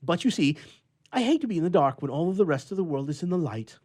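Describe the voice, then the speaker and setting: A man's voice: fairly high pitch, lively intonation, fast talker, darkish tone, voice pushed up. A man voicing an irritable, lonely bachelor in a storybook reading, with emphatic, indignant, old-fashioned oratory. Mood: indignant misery.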